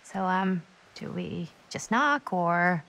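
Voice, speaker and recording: A woman's voice: lowish voice, animated, slow pace, a bit bright, heavily acted. An actress playing a friendly, awkward young American woman in a video game, with a hesitant, rambling, casual way of talking. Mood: casual, curious. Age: young adult. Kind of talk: naturalistic video game acting.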